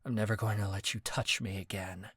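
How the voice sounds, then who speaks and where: A man's voice: fairly low pitch, fairly even intonation, a little fast, fairly bright tone, slightly rough, no echo, studio quality. A man voicing a defiant young woman who speaks firmly and cuttingly. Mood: cold firm. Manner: firm and cutting.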